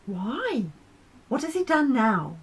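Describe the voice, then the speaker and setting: A woman's voice: high voice, big pitch swings, takes it slowly, husky, pitched above the performer's own voice, exaggerated voice. A woman voicing a curious male sun in a storybook, puzzled and questioning.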